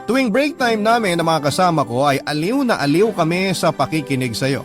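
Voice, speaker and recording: A man's voice: a little high, big pitch swings, brisk. A man narrating a scripted first-person story for radio, with sentimental, dramatic delivery. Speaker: radio drama narrator reading a listener's letter. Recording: clear music bed under narration. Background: background music.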